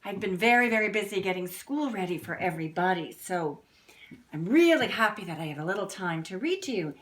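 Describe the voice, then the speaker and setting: A woman reading a picture book aloud to young children like a teacher, expressively, pausing to explain things. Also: medium pitch, a bit bright. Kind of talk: read-aloud storytelling with asides to children. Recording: roomy indoor echo.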